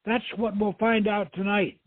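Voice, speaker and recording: A man's voice: high voice, level tone, a little slow, pitched above the performer's own voice. A man voicing a learned, earnest lady archaeologist in an adventure storybook, over a thin phone-like line. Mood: assured, scholarly. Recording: thin phone-like radio line, roomy echo.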